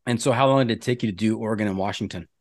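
A man's voice: on the low side, racing, no room sound, studio quality. A man with an American accent hosting a casual interview, asking curious questions and bantering with his guest. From United States. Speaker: podcast host. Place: studio.

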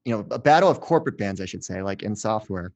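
A man's voice: lowish voice, lively intonation, fast, a little mellow. A middle-aged man hosting a podcast interview, chatting in a conversational, loosely phrased way. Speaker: podcast host. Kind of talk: casual interview chat.

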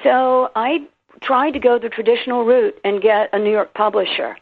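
A woman's voice: a little high, normal speed. A woman with a South Carolina and Tennessee accent, an author guest telling her story animatedly in an interview. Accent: Southern American, South Carolina and Tennessee. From American South. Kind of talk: interview on a phone line. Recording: tinny phone line with gravelly fry.

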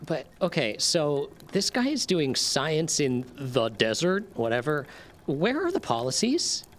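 An actor playing a naive, baffled newcomer in an audio drama, halting and questioning. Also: medium pitch, very animated. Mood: exasperated. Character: naive, baffled company-minded newcomer reading notes aloud.

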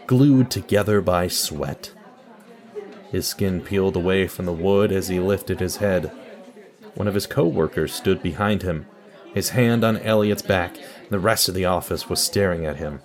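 A man's voice: low voice, a little slow. A man delivering scripted narration and announcements for an audio drama.

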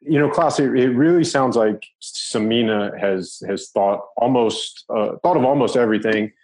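A man's voice: mid-range voice, normal speed, a little mellow. A man hosting an interview, speaking in a friendly, hesitant way with many fillers. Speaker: podcast host. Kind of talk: casual interview chat.

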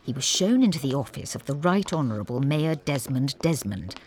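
A woman playing the narrator of a comic audio drama, measured, arch and mock-grand. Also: extremely low pitch, sing-song, a little mellow, a little hiss or hum. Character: wry storybook-like narrator of village comedy.

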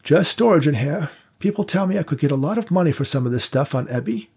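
A courtly old man near a hundred, reminiscing in a thin, high quaver, as a male narrator puts him on. The voice keeps a gravelly, husky grain under the lifted pitch. He sounds gentle and a little frail. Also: lively intonation, fast, exaggerated voice. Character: frail genteel old male theater manager, 97.

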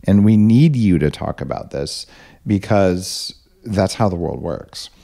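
A man's voice: sits low, expressive, unhurried, gravelly, warm tone. A man hosting a podcast interview, chatting in a laid-back, joking way. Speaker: podcast host. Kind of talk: casual interview chat.

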